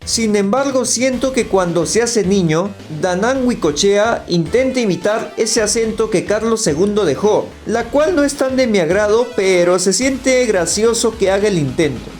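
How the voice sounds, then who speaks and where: High-voiced man reviewing. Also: expressive, normal speed, hardly any pauses, darkish tone, faint music behind. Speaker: video critic. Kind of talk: opinionated scripted review narration.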